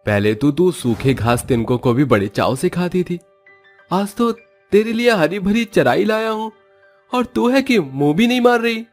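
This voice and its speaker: A worried cartoon villager, put on by a male narrator, speaks in a raised, strained voice well above the narrator's own. He frets and fusses, and soft music plays underneath. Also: high-pitched, moderate pace, voice pushed up. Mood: fretful. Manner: fretful, fussing. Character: worried middle-aged male village goat herder.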